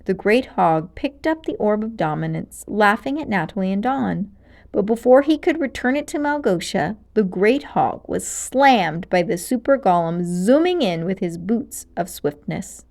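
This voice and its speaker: A woman narrating a children's storybook aloud in an expressive, dramatic and clearly paced way. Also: medium pitch, a little slow.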